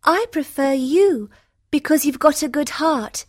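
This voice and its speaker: An actress playing a gentle, kind-hearted young storybook heroine, speaking earnestly, politely and with careful enunciation. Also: female voice, sits high, lively intonation, unhurried, no room sound, clean studio sound. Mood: sincere. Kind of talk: dramatised storybook reading for learners.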